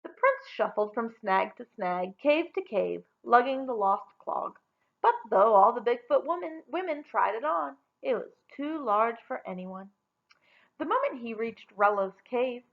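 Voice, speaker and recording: Expressive woman storyteller with creaky voice. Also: fairly high pitch, dull audio. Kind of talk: expressive children's story read-aloud.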